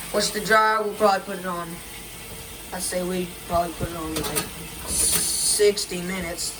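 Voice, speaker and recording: A man rambles through a casual vlog walkthrough in a condo kitchen, full of fillers and restarts. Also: high voice. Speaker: vlogger. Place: indoor condo kitchen by a running washer. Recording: noisy with running water and appliance sounds.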